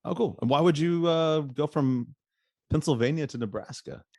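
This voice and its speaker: A man's voice: on the high side, normal speed, a little mellow, dry recording, studio quality. A man hosting a podcast, talking in a loose, rambling way and laughing often. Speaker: podcast host. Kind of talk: casual interview chat.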